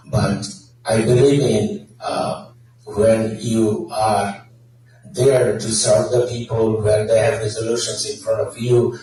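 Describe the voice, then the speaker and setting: A man's voice: on the low side, a little mellow, a little hiss or hum. Hesitant man answering in an echoey hall. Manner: hesitant, many fillers. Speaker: city council candidate. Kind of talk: candidate forum answers. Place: public meeting hall. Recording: echoey hall, distant mic.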